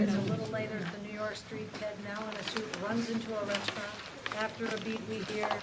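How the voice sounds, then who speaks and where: A woman with a mid-pitched voice narrates screenplay directions at a live table read. There is clear room noise behind her. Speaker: stage-direction reader. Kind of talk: scripted narration of stage directions. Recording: noisy live room ambience.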